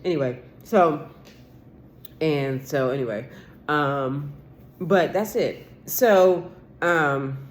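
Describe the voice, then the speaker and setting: A woman's voice: sits low, extremely slow, pauses often, a little hiss or hum. A woman in her forties talking straight to camera in a rambling vlog, aggrieved and insistent, swearing casually and restarting her sentences often. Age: 40s. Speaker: vlogger. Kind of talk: rambling solo vlog monologue.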